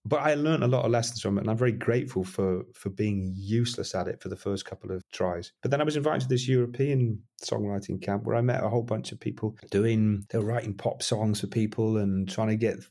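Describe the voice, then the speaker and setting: Man, middle-aged, husky, rambling casual chat, self-deprecating. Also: fairly low pitch, brisk, few pauses, warm tone. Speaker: interviewed musician.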